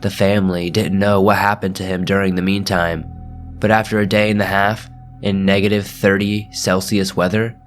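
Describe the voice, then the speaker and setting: A man hosting a scary story channel, reading ghost stories aloud in a slow, measured, hushed storytelling delivery. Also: sits low, little pitch movement, soft background music. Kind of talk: scripted horror story narration.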